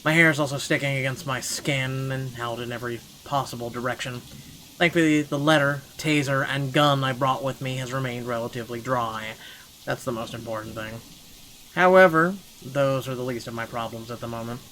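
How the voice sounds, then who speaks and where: A man's voice: mid-range voice, fairly quick, slight background noise, low-quality recording. A man reading a first-person story aloud dramatically as a gaming host, breaking off for blunt, sweary reactions. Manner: expressive, sweary commentary between read passages.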